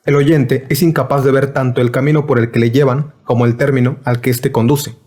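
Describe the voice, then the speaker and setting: A man reads a philosophy lecture aloud in an even, formal way. His delivery is measured and deliberate, like a scripted recording. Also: mid-range voice.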